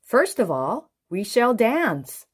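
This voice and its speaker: Storybook gentleman played by a woman: polite, cheerful, reassuring, unhurried with lively intonation. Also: fairly high pitch, breathy edge, voice pushed up, full character voice. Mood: courteous, reassuring. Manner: courteous, reassuring.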